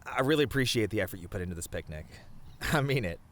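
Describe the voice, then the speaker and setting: A man playing a sweet, bashful boyfriend in a romantic ASMR roleplay, talking tenderly in a flustered, halting way. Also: on the low side, animated, close to an everyday voice. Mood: sincere, tender. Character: sweet, bashful, rambling boyfriend on a picnic date.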